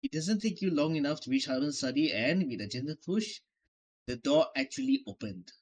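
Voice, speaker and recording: A man's voice: a little high, sing-song, brisk, vocal fry, darkish tone. A man hosting a gaming channel, reading the game's narration aloud in a plain way. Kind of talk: reading game text aloud. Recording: music from the game behind.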